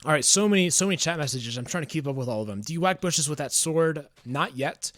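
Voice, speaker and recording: A man chatting casually on a livestream, reading chat aloud in a smooth, soothing voice, musing and hesitant with fillers. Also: on the high side, animated, fast, a bit bright, no room sound.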